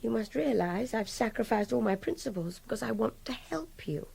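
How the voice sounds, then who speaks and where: An actress playing an articulate young captive in a radio drama, earnest and emotionally direct with a breathy voice. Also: female voice, a little high, expressive, normal speed, a little mellow, full character voice. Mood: frustrated, reasoning. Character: captive young woman, articulate, pleading, frustrated. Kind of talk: intimate radio drama dialogue.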